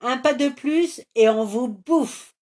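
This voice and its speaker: A woman growling as loud hungry troll monsters. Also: high-pitched, takes it slowly, voice pushed up. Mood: greedy. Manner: loud snarling threats.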